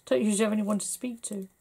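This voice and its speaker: A middle-aged woman from England, slightly hoarse, chatting her way through a papercraft tutorial at her desk with lots of fillers. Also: mid-range voice, mellow. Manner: chatty, rambling, full of fillers. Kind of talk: casual crafting tutorial.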